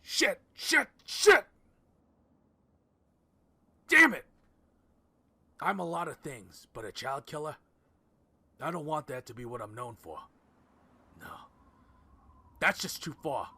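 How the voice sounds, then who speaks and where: A man's voice: a little high, big pitch swings, takes it slowly, vocal fry, long pauses, bright, forceful tone, slightly rough, exaggerated voice. A man acting a hard-bitten, conflicted man on the run in an audio drama, sweary and emotional. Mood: panicked anger.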